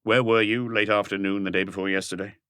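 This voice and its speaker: A man voicing a calm, ironic police inspector who questions in an unhurried, probing way in a mystery audiobook. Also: lowish voice, clean tone, dry recording, natural voice. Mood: dry, probing.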